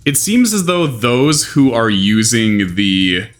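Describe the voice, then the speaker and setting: A man narrating a tabletop game as game master, halting and restarting as he describes scenes, his voice going hoarse. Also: medium pitch, big pitch swings.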